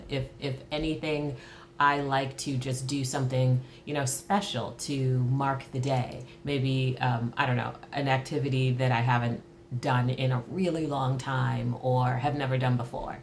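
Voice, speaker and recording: A woman in her early fifties reflecting candidly on her own life in a thoughtful, halting way, as in a personal interview. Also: very deep voice, a little hiss or hum. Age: early 50s. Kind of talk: reflective personal talk to camera.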